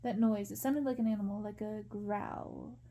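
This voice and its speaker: A woman's voice: medium pitch, steady tone, normal speed, warm tone, highs cut off, close to an everyday voice. A woman voicing a moody, impatient prince with a curt manner in a storybook. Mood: panicked. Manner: curt, commanding.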